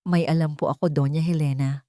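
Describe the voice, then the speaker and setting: A woman storyteller voicing a brave young woman seeking justice, speaking firmly, clearly and earnestly in an audio drama. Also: sits low, steady tone, a little fast, mellow, no room sound, studio quality, close to an everyday voice. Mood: defiant, calm.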